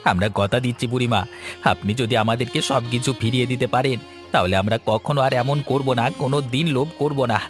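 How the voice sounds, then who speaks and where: A man's voice: medium pitch, steady tone, fast talker, lightly acted. Beseeching, destitute village man played by a man. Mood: earnest, promising. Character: destitute, humbled village father begging. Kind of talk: Bengali fairy-tale cartoon voice acting. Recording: music behind the voice. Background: background music.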